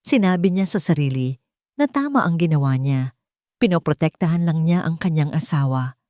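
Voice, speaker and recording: A woman reading scripted dramatic narration as a storyteller, in a measured, deliberate manner. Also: lowish voice, warm tone, dry recording, clean studio sound.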